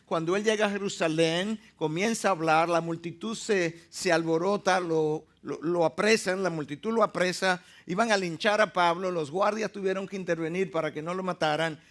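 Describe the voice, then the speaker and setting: A male preacher delivers a teaching sermon in a notably high voice. He speaks emphatically and slows down to lean on the words that matter. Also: a bit bright.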